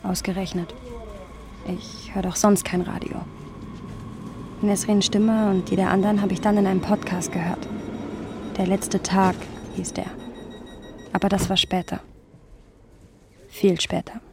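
A woman's voice: medium pitch, flat delivery, a little slow, pauses often, noisy background, lightly acted. An actress playing a thoughtful, playful young woman who narrates a literary radio play. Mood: sober, reflective. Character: thoughtful, playful young woman recounting her close male friend. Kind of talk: literary scripted narration with lively dialogue.

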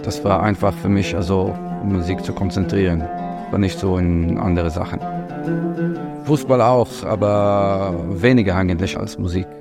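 Man, 30s, gravelly, halting German, music behind. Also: sits low, fairly even intonation. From Palestine. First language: Arabic. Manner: halting, searching for German words. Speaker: musician interviewee. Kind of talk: interview answers.

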